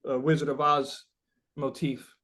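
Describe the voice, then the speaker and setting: A man being interviewed as a musician, chatting casually and feeling his way through rambling, halting explanations. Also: medium pitch, little pitch movement, a bit bright.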